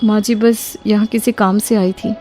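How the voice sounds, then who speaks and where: A woman's voice: medium pitch, level tone, normal speed, dark tone, faint music behind, lightly acted. A woman playing a humble, distressed young mother in an acted moral story, with a polite, earnest, plaintive way of talking. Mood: troubled.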